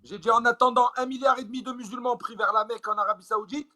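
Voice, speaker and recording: A man's voice: very high voice, steady tone, fast, bright, forceful tone. A young man arguing in a heated debate, interjecting repeatedly. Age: young adult. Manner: interjecting, argumentative.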